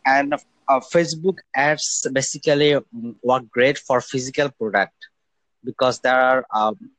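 A young man from Bangladesh talks as a guest over a remote call, pausing often with fillers and restarting his sentences. His voice sits at a middling pitch and sounds dry and close, with no room around it. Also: steady tone, fairly bright tone, dry recording, poor audio. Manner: hesitant, many fillers and restarts. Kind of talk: casual interview talk.

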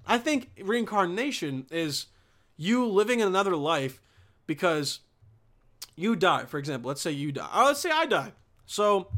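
Young bosnian-born guy, casual rant, lots of swearing and restarts, kinda high voice. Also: sharp tone. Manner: profane, restarts sentences, slangy. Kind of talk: casual rambling monologue.

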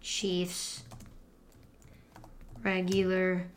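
A woman hosting a casual sports podcast, talking loudly and excitably and teasing her guest. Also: fairly low pitch, drawn-out words, slight background noise. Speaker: podcast host. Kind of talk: casual sports picks chat.

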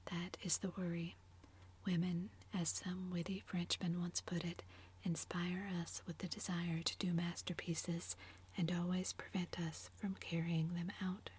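A woman whispering as a languid witty gentleman. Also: fairly low pitch, little pitch movement, unhurried, slight background noise, close to an everyday voice. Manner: whispered, epigrammatic, drawling. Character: languid witty aristocratic older English gentleman.

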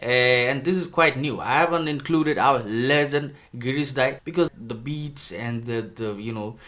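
A man's voice: medium pitch, unhurried, fairly bright tone. A man from Nepal, a native Nepali speaker, hosting a casual video review of rap songs, chatty and enthusiastic while joking about his put-on accent. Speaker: music reviewer.

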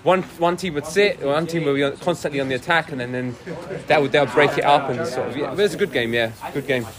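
A man's voice: fairly high pitch, quick pace, a crowd in the background. A man, an amateur footballer, talking casually through a match just played. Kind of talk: casual post-match chat.

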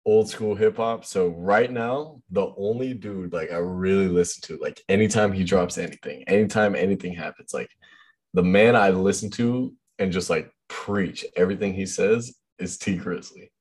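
Young man, very low deep voice, loose rambling chat, heavy fillers. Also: moderate pace, darkish tone. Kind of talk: casual chat about music.

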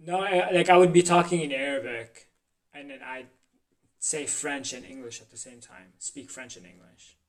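Man from Lebanon, relaxed casual talk, slightly high voice, distant from mic. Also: normal speed. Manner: relaxed, swears casually, says "like". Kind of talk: casual chat.

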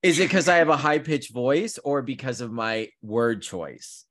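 A man in his thirties co-hosting a podcast, telling stories in an animated, chatty way with lots of fillers. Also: medium pitch, unhurried. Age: 30s. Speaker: podcast co-host; counseling student. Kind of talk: casual chat.

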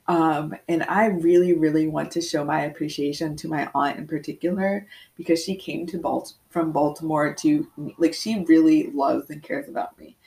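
Young woman, low pitch, gravelly fry, congested, candid podcast talk. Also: darkish tone. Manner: candid, reflective, with frequent ums. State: congested with sniffles. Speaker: podcast host. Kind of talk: solo podcast monologue.

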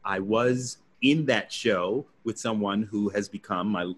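A man with a baritone voice, a guest actor reflectively telling his story in an interview. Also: a little slow. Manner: reflective, acts out his own past thoughts.

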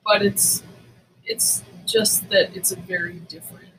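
A woman's voice: mid-range voice, steady tone, measured pace, a bit bright, slight background noise, poor audio. A woman talking casually and conversationally as a podcast co-host.

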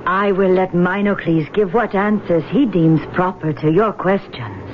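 An actress playing a composed, commanding woman guide from Atlantis in an old radio drama, speaking formally and with measured dignity. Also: fairly low pitch, lively intonation. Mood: calm, commanding. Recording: dull, noisy old radio recording.

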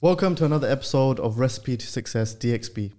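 Mellow-voiced man hosting. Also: medium pitch, animated, fast talker. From United Kingdom. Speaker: podcast host, interviewer. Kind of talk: casual podcast interview.

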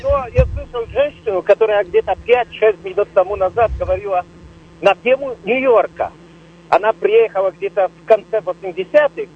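A man calling into a radio show, talking haltingly and searching for words. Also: high voice, very animated, husky. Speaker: caller. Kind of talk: phone-in call. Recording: dull lo-fi phone call.

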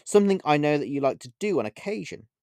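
A man voicing a scornful, playful female witch in an audiobook, mocking and theatrical, with drawn-out emphatic phrasing. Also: a little high, very animated, a little mellow, smooth, no echo, clean studio sound, pitched above the performer's own voice. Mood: scornful, angry. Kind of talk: audiobook character voice.

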